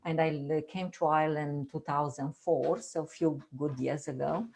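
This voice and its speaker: A woman in her fifties from Romania, speaking English with a Romanian accent, giving an earnest personal testimony on a video call with halting, repetitive phrasing. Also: low voice, steady tone, vocal fry, a little mellow, husky. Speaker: guest.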